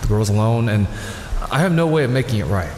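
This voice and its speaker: A man's voice: lowish voice, expressive, fast talker, a little mellow, lightly acted. A man playing a brooding, guilt-ridden wealthy vigilante in an audio drama. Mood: troubled. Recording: echoey cavern with faint noise.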